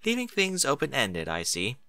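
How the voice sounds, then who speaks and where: Man putting on a refined, high-pitched lady pony. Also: wildly swooping pitch, moderate pace, pushed and bright, no room sound, studio quality, exaggerated voice. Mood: discreet. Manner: genteel, flowery phrasing. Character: refined, dramatic lady unicorn pony, courteous and theatrical.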